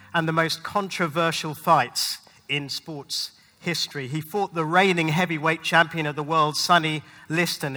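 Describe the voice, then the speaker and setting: A man preaching a sermon to a congregation in an emphatic, rhetorical manner with dramatic pauses. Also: fairly high pitch, bright, forceful tone. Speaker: pastor, guest preacher. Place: large hall at a live church event. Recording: long hall reverb.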